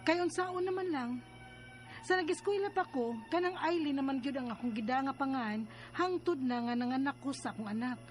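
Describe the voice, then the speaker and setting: A woman's voice: sits high, natural voice. A woman playing an earnest, distressed young mother in a radio drama, plaintive and questioning. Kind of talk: radio drama acting with narration.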